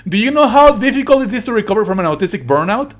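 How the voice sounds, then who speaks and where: A man's voice: sits high, big pitch swings, racing, faint music behind. A man doing live let's play commentary as a streamer, sardonic and indignant, swearing freely and at times reading game lines aloud.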